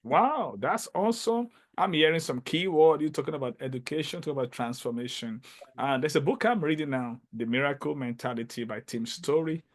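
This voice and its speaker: A man hosting an interview podcast, speaking with enthusiasm and emphasis, often halting and repeating words. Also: a little high. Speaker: podcast host.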